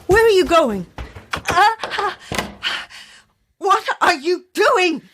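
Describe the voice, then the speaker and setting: Dry, guarded woman, widely varied pitch. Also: animated, noisy background, exaggerated voice. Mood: terrified. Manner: understated, dry, measured. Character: composed, sceptical woman novelist, dry and guarded. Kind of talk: dramatic audio fiction acting.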